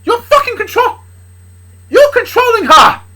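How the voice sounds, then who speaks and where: A woman's voice: medium pitch, animated, fast, bright, forceful tone, husky, pitched above the performer's own voice. A woman voicing an angry, controlling father in a story, sputtering, threatening and repeating himself. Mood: enraged.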